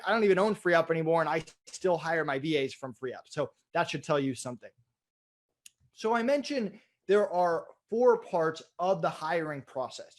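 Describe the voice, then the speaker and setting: A man giving an informal, explanatory webinar as a business trainer, in a conversational way. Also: a little high. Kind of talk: informal instructional presentation.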